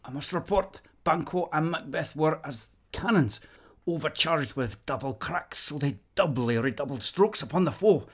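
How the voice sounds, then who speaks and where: A man's voice: on the high side, animated, moderate pace, husky. A man playing a wounded, loyal soldier reporting from battle in a classical radio drama. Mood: strained, proud.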